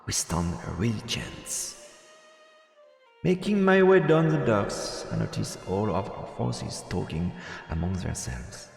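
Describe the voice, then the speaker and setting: Slow, echoey male game streamer. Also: lowish voice, big pitch swings, creaky. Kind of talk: live let's play, reading visual novel text aloud with casual asides. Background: game music.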